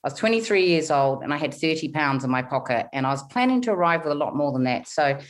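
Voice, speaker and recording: A woman in her forties from New Zealand with a softened Kiwi accent, telling her story candidly in a podcast interview. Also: sits low, animated, fast talker. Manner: candid storytelling, frequent fillers and restarts. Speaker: guest, money coach.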